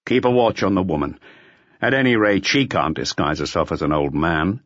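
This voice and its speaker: Man playing a terse, low-key police inspector. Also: normal speed, fairly bright tone, no echo, highs cut off. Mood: brusque. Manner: terse, brusque questioning. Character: curt, brooding male police inspector on a case. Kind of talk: audiobook character voice.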